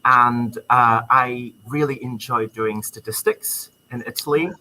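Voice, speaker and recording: Bright-voiced Czech-accented young man. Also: mid-range voice, fairly even intonation, measured pace, rough recording. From Czech Republic. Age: young adult. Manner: friendly, enthusiastic, many ums. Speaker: student guest. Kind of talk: casual interview chat. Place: university computer lab.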